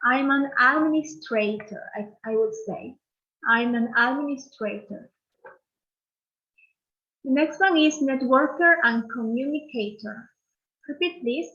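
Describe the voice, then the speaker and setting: A woman's voice: high-pitched, a little slow, pauses often, pushed and bright, lo-fi sound. A woman teacher from Peru with a Peruvian Spanish accent, leading an online English lesson and patiently repeating phrases for learners to copy.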